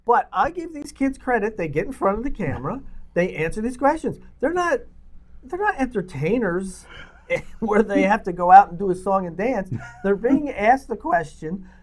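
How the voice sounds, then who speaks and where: A man with a lively, swooping delivery co-hosts a casual talk show. He makes his points emphatically and likes to argue and tease. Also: high voice, normal speed. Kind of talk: casual banter.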